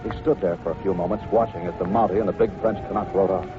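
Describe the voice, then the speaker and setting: Brisk old-time radio western narrator, male. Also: sits low, fairly even intonation, narrow, tinny audio, music in the background. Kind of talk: 1950s radio drama scripted narration. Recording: noisy, band-limited vintage radio recording.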